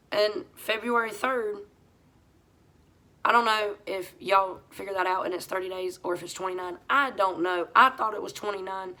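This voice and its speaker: Hoarse, bright-voiced woman. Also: medium pitch. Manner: blunt and profane, talks straight to viewers; talks over her hoarseness, voice pushed a little louder than usual. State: hoarse from a head cold. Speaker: vlogger sharing her recovery story. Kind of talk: casual confessional monologue.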